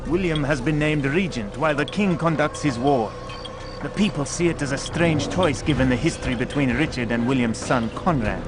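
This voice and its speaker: An actor playing a shrewd, courteous informant who briefs in a knowing, articulate, wry manner. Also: male voice, medium pitch, normal speed, over music, close to an everyday voice. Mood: wry briefing. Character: shrewd, dryly courteous male informant to assassins.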